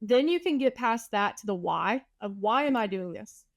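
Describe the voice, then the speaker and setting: A woman's voice: mid-range voice, lively intonation, moderate pace, dry recording. A woman with a Southern US accent hosting an interview podcast, chatty and fond of rhetorical questions. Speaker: podcast host. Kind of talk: casual interview talk.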